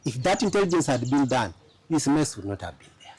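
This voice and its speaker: A man's voice: mid-range voice, big pitch swings, fairly quick, darkish tone, husky. A man who is a lawyer and studio guest on a political talk show, making his case emphatically, point by point.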